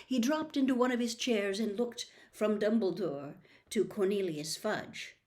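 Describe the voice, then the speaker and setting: A woman reading a children's novel aloud as an expressive storybook narrator. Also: medium pitch, measured pace. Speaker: storybook reader.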